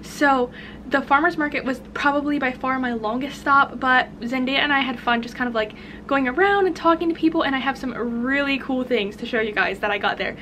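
Higher-pitched young American woman chatting. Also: brisk, pushed and bright, slight background noise. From United States. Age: young adult. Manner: informal, chatty. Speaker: vlogger. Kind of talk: casual vlog chat.

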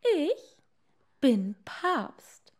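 Storybook fisherman's wife, played by a female reader: imperious, greedy, demanding, pitched high. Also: big pitch swings, drawn-out words, a little mellow, exaggerated voice. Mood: haughty. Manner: demanding, haughty. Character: greedy, imperious fisherman's wife craving ever more power.

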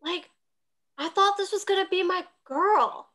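A woman's voice: extremely high pitch, measured pace, fairly bright tone. A woman improvising a comedy scene over a video call, in conversational phrases. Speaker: improv performer.

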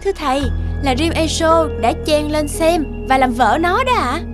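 A woman voicing a haughty, spiteful young sea goddess in an animated fairy tale, with a scornful, mocking manner. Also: sits high, normal speed, over music. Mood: accusing.

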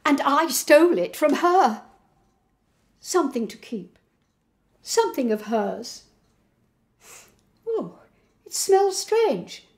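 A woman playing a senile, resentful old Victorian mother in a dramatic monologue, haughty and aggrieved with theatrical pauses. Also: sits high, sing-song, heavily acted. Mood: wondering, wistful.

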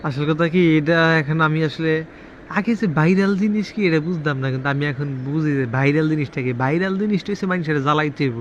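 A man's voice: on the high side, fast. A man with a Bangladeshi accent chatting casually on a call in a colloquial, explanatory way. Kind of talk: casual phone conversation. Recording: dull lo-fi audio, faint noise.

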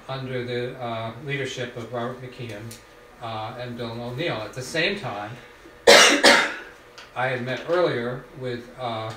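A man at a board meeting table, giving a halting report with many fillers, recorded from a distance in a small studio room. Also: mid-range voice. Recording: roomy, distant, faint hiss.

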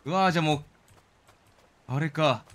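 A man's voice: fairly high pitch, a little slow, a bit bright. A man giving casual live commentary as he streams a game, talking to himself and his viewers. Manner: reads game dialogue aloud in character.

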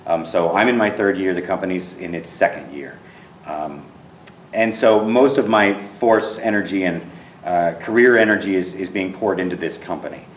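A man in his thirties with a very deep voice answers interview questions with earnest warmth, often restarting and repeating words as he thinks. He sounds set back from the microphone. An empty theater adds a roomy echo and a faint hum of ambience. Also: moderate pace, recorded from a distance. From Texas. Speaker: theater director.

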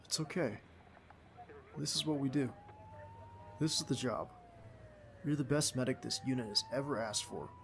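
Casting: male actor as a protective young agent boyfriend for ASMR audio drama, soft creaky voice, reassuring, faint ambience behind. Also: mid-range voice, moderate pace, pauses often. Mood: tender, soothing. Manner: soothing, intimate, reassuring. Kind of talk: ASMR boyfriend roleplay acting. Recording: faint background ambience noise.

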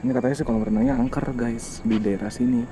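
A young man with a Jakarta-area Indonesian accent and a medium-pitched voice talks as he explores on camera. His delivery is casual and slangy, full of exclamations and English interjections. The handheld recording carries clear ambient noise. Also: fairly even intonation, fast. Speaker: vlogger exploring abandoned places. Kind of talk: casual exploration vlog narration. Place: abandoned villa at night, moving through rooms. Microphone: handheld camera microphone. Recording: clearly noisy handheld outdoor-indoor ambience.

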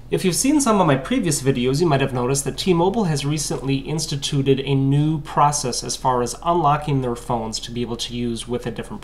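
Young man, tech walkthrough host, explanatory, mid-pitched, camera mic, faint room noise. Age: young adult. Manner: matter-of-fact, explanatory. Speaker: phone unlocking video host.

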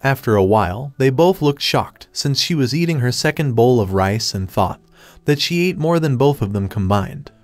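A man giving a scripted third-person recap of a comic story in a steady retelling manner. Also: medium pitch, normal speed, dry recording. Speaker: recap narrator. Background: faint background music.

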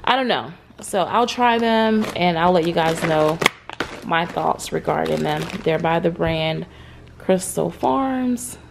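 A woman's voice: fairly low pitch, animated, slow pace, gravelly, background noise. A woman in her thirties chatting casually to her viewers about her groceries at home, with lots of fillers. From United States. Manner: chatty, talks straight to the viewers with many fillers. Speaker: vlogger. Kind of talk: casual grocery haul vlog. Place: bright room at home, indoors.

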